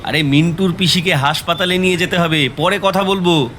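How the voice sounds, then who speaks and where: An actor playing a kindly, easygoing middle-aged neighbourhood bachelor in an audio drama, speaking colloquially with breezy earnestness. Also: male voice, high-pitched, steady tone, fairly quick, a bit bright, slight background noise. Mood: hurried, friendly. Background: background music.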